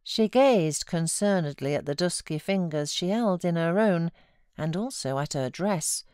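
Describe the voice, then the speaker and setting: A British woman narrates a classic novel in an expressive, practised audiobook style. Her voice sits a little low for a woman, and the recording is dry, with no room around it. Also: measured pace, clear, resonant tone, no echo.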